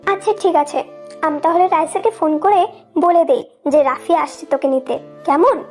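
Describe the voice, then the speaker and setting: A woman's voice: very high-pitched, normal speed, a little mellow. A woman playing a busy, nagging aunt who coaxes insistently in an audio love story. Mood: agreeable. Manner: pleading, insistent. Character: busy, nagging, coaxing middle-aged aunt. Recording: music under the voice.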